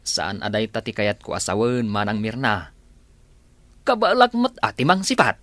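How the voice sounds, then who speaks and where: An actor with an Ilocano accent playing a blundering, cheeky village driver who blurts and exclaims in a comedy radio drama. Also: male voice, on the low side, moderate pace, no echo. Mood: protesting, cheeky. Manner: animated, deferential yet blurting, exclaiming.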